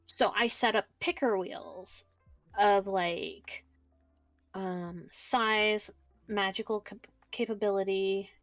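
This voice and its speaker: A woman co-streaming, talking casually in a halting way with many ums and pauses. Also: medium pitch, steady tone, a bit bright, no echo, soft background music. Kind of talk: casual chat on a writing stream.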